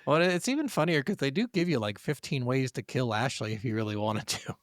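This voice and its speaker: A man's voice: medium pitch, lively intonation, brisk, no echo, clean studio sound. A man co-hosting a gaming podcast, telling stories informally and swearing freely. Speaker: podcast co-host. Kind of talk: casual gaming podcast chat.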